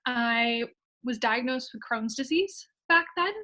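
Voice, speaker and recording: A woman's voice: on the high side, lively intonation, a little slow, sharp tone, slight background noise. A woman from upstate New York, a digital marketer and coach, chatting eagerly as an interview guest and laughing often. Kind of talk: casual interview.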